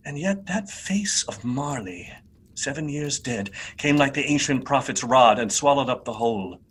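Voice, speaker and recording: Male narrator for radio drama, mid-pitched and husky, expressive storytelling with deliberate phrasing.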